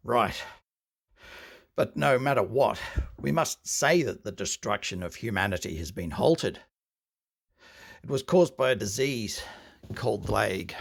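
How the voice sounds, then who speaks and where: A man acting a weary, grave knight in command, speaking deliberately with a gravelly voice in an audio drama. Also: fairly low pitch, animated, full character voice. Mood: grave, solemn. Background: music.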